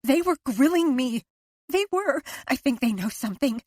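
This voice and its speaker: A woman's voice: a little high, normal speed, husky, dry recording, voice pushed up. A woman voicing a panicked, guilt-ridden wife. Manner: tearful, pleading, rising to near shouts. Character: frightened guilt-ridden wife near breaking. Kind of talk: audiobook character voice.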